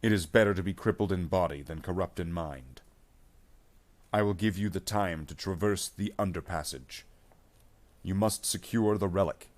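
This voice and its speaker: A man's voice: deep voice, normal speed, close to an everyday voice. A man voicing a wounded, stoic space soldier sergeant in a story, solemn and commanding. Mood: resolute.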